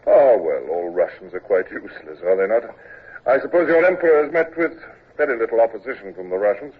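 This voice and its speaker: An actor playing a shrewd naval captain who also narrates, with a dry, understated manner, in an old radio drama. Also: male voice, lowish voice, sing-song, brisk, slightly rough. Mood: wry. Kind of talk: 1950s radio drama acting. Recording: dull old radio transcription with faint hiss.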